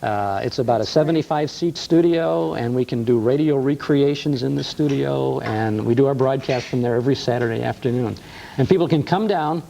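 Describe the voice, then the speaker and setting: Middle-aged man, old-time radio host type, warm gravelly delivery, lively storyteller. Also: mid-range voice, a little fast, a little hiss or hum. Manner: enthusiastic, storytelling, frequent uh fillers. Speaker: radio host and museum co-founder. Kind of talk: casual interview chat.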